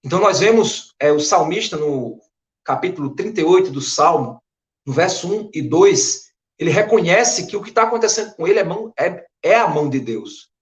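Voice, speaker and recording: A Brazilian Northeastern pastor delivers an earnest, emphatic sermon, his voice a little hoarse from a flu. He speaks in a fairly high register for a man. The sound bounces around an echoey room. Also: expressive, normal speed. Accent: Brazilian Portuguese, Northeast. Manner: earnest, emphatic preaching with rising stresses. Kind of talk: expository Bible sermon.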